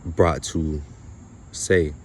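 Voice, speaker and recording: A man delivering an unscripted spiritual monologue to viewers, halting and emphatic, often repeating his phrases. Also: very deep voice, steady tone, a little hiss or hum. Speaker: spiritual video creator.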